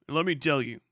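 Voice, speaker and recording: A man's voice: medium pitch, sharp tone, no room sound, studio quality. A man hosting a livestream with casual, rambling, sarcastic chat and plenty of swearing, sounding tired. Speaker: livestream host.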